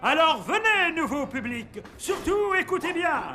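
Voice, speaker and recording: A man voicing a grand puppet showman in an animated film dub, with ceremonious, theatrical announcing. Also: very high-pitched, measured pace, faint music behind, exaggerated voice. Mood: grand, proclaiming.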